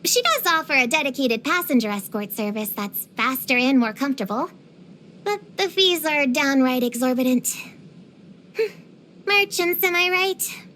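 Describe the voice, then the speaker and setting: High, animated, precocious little girl. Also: measured pace, slight background noise, full character voice. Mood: grumbling, puzzled. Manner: earnest, theatrical, uses grown-up vocabulary. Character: precocious, feisty, dramatic little girl witch hunter. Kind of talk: scripted video game character voice lines.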